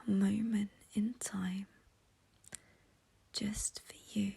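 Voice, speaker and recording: Very slow, breathy whispering woman. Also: medium pitch, little pitch movement. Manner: soothing, slow, gentle, intimate. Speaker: guided meditation teacher. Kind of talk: guided meditation, soft near-whisper.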